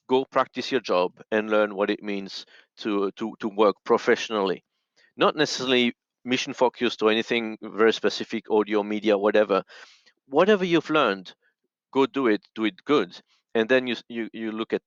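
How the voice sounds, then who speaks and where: A man giving instructive advice as an interview guest, in a halting way, restating his phrases as he goes. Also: on the low side, no room sound. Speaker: audio and radio expert, podcast guest. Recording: dull, dry lo-fi call audio.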